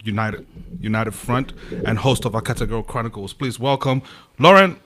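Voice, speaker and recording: A man's voice: medium pitch, moderate pace, a bit bright. A man speaking as co-host of a podcast in a casual, chatty style.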